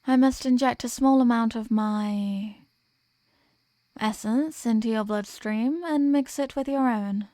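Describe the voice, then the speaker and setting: A woman's voice: fairly high pitch, a little slow, mellow, no room sound, studio quality, natural voice. A woman playing a possessive, dominant vampire in an ASMR roleplay, speaking in a teasing, condescending manner with formal phrasing. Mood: teasing, intimate. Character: possessive, dominant female vampire toying with captive prey. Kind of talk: ASMR roleplay, scripted intimate monologue.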